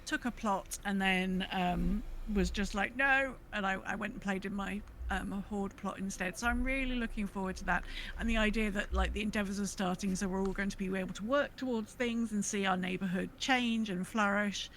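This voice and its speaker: A woman's voice: medium pitch, normal speed, fairly bright tone, slight background noise. A woman chatting casually and enthusiastically, as a co-host on a gaming podcast.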